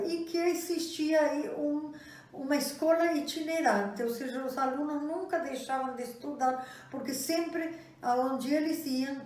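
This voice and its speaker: An older woman from Argentina, a professor speaking Portuguese with an Argentine Spanish accent, giving a talk with hesitant pauses and many fillers. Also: high voice, a little mellow, slight background noise. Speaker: guest speaker, university professor. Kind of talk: event talk. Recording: echoey room, distant mic.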